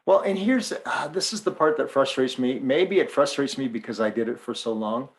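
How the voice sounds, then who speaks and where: A man's voice: mid-range voice, normal speed. A middle-aged man from Western Canada with a faint Canadian accent, co-hosting a podcast and telling stories while acting out dialogue. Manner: animated storyteller, acts out dialogue. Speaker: podcast co-host. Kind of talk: casual podcast chat.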